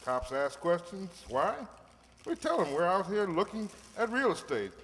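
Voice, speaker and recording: A man plays a cocky, placating drug dealer in a radio crime drama. He is on the high side for a man and very animated in pitch, talking persuasively in an echoing hall. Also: moderate pace, heavily acted. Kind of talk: old-time radio crime drama acting. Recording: echoey hall.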